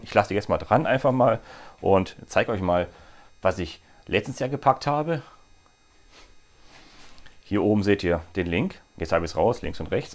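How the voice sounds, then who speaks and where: A man in his forties chatting casually as he fits parts to a bike in a small workshop, with jokey asides. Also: on the low side. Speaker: bike gear vlogger. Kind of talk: hands-on product demo.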